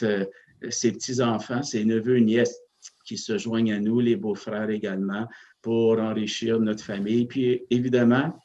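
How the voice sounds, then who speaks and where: A man, a parish priest, speaking slowly and thoughtfully as he recalls his family and faith. He hesitates often and restarts his sentences, with a voice at an ordinary pitch. The sound is a little dull, like an older TV broadcast. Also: mellow. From Northern Ontario, Canada. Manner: hesitant, many fillers and restarts, reflective. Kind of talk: interview, personal storytelling.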